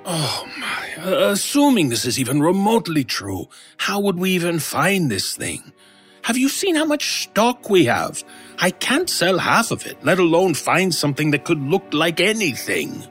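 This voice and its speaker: A man's voice: on the high side, sing-song, measured pace, fairly bright tone. A man playing a skeptical, flustered shopkeeper in an old-time radio horror drama, doubting and hesitant in manner. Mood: skeptical, exasperated. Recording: music under the voice. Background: music.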